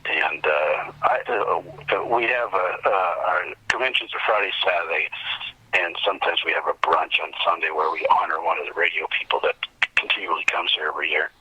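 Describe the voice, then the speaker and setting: A man with a husky voice chatting casually as an interview guest, halting as he searches for details, over a thin phone-like line. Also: sits low, pushed and bright. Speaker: podcast guest, convention organizer.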